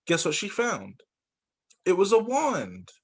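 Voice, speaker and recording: A man working as a teacher, reading children's storybooks aloud in an expressive way. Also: high voice, slow, slightly rough. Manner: expressive, acts out dialogue for young children.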